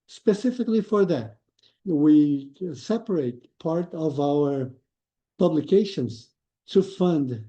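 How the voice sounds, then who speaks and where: Male guest, thoughtful and explanatory, very dark tone, lo-fi audio. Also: fairly high pitch, lively intonation, takes it slowly. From Brazil. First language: Portuguese. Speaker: guest, lean consultant. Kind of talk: podcast interview.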